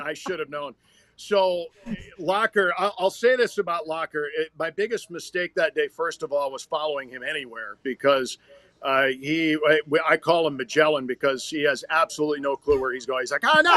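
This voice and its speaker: A man's voice: a little high, animated, moderate pace, bright, forceful tone, no echo. An American man, a radio hockey announcer, talking and telling stories conversationally as a podcast guest. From Minnesota, United States.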